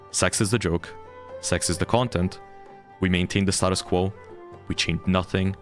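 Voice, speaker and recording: A young adult man with a deep voice, a streamer ranting frankly and heatedly about his community and swearing freely. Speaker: VTuber streamer. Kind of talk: candid ranting monologue.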